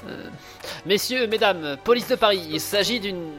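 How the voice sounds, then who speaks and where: A man's voice: high-pitched, normal speed, fairly bright tone, faint music behind. A man playing a kindly, eager inventor and devoted brother in an audio drama, chatty and a little hesitant. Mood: officious.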